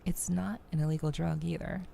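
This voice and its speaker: A woman's voice: low-pitched, fairly even intonation, fairly quick, vocal fry, dark tone, slight background noise. A woman livestreaming a game as a VTuber, reading dialogue aloud and commenting on it. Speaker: streamer, VTuber. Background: faint background music.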